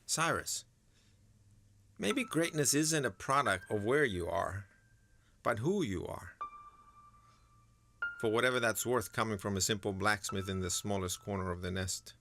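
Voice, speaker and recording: A man playing a kindly middle-aged blacksmith mentor in an animated drama, gentle, earnest and gravelly. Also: fairly low pitch, unhurried, long pauses, slight background noise, heavily acted. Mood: gentle, reflective. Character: kindly older male blacksmith, fatherly mentor. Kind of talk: dramatic animated series acting. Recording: voice over clear background music.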